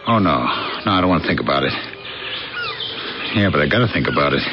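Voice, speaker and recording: A man acting in an early 1980s radio drama as a homesick, bewildered American factory foreman, plain-spoken and halting. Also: very deep voice, lively intonation, lo-fi sound. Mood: bewildered, agitated. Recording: noisy, band-limited old radio broadcast recording.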